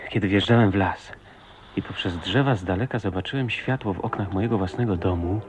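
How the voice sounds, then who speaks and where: An actor playing an adoring, wry young husband with an expressive, tender delivery in a radio drama. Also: male voice, sits low, fairly quick, close to an everyday voice. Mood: moved, happy. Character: adoring, teasing, philosophical husband living in the woods. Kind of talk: Polish radio drama acting. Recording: radio play with faint noise, ambience.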